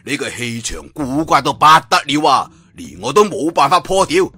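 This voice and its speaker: A man with a Cantonese accent voicing a proud, irritable old swordsman in an audiobook, loud, teasing and boastful. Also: medium pitch, sing-song, moderate pace, husky, full character voice. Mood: amused, teasing.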